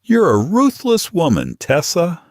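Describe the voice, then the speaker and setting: A man voicing a commanding, terse mafia boss in an audiobook, speaking in a low, controlled manner. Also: wildly swooping pitch, a little slow, dry recording, clean studio sound. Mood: intense, possessive.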